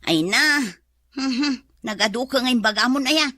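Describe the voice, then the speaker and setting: A woman's voice: sits high, unhurried, sharp tone, no room sound, full character voice. A woman playing a long-suffering, wry housewife with an Ilocano Filipino accent in a comedy radio drama. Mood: complaining. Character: long-suffering, exasperated housewife, thrifty and sarcastic.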